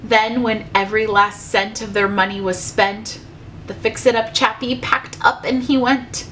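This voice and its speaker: Slow, high-voiced woman storyteller, noisy recording. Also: fairly even intonation, a bit bright. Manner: measured, rhythmic storytelling. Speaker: teacher reading aloud. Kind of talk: storybook read-aloud in rhyme for children.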